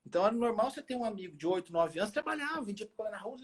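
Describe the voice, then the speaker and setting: A man's voice: sits high, expressive, rapid-fire, breathy edge. A man of forty with a rural Minas Gerais Brazilian accent, chatting casually and colloquially about his own life, repeating phrases for emphasis. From small town in Minas Gerais, Brazil. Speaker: health expert guest on a live chat. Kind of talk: casual chat with personal stories. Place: remote social media live video call.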